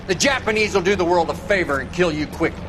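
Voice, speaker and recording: A man's voice: high-pitched, fast talker, natural voice. A man playing a short-tempered, foul-mouthed Marine drill sergeant in a video game, bullying and sarcastic. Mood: contemptuous. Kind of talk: video game cutscene acting. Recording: faint background ambient noise.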